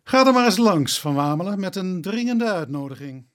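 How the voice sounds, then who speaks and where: A man playing a methodical police inspector in a radio drama, speaking in a measured, deliberate way. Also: high voice, lively intonation.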